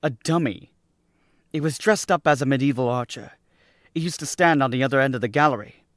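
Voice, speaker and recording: An actor playing a breezy, incredulous gentleman heir in a theatrical old-time radio suspense drama. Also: medium pitch, fairly quick, no echo, clean studio sound, close to an everyday voice. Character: breezy, skeptical gentleman who inherited a castle.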